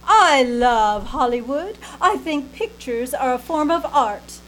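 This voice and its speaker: A woman's voice: high-pitched, animated, slow, fairly bright tone, full character voice, rough recording. A woman playing a dizzy, boastful young Hollywood starlet in old-time radio comedy style, gushing and theatrical with comic declarations. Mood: brash, boastful. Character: dizzy, hyperactive young female movie starlet, boastful and excitable. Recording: live theater stage with background noise.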